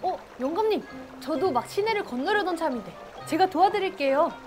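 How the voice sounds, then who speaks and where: Woman playing a kind, high-voiced young man. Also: animated, brisk, music in the background. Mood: kind, eager. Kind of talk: children's storybook acting.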